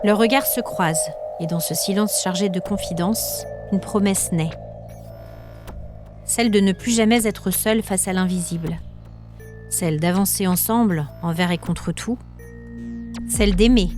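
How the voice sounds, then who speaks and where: A woman narrating a scripted story podcast in a measured, suspenseful, dramatic way, with short weighted phrases. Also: mid-range voice, a little mellow. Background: music.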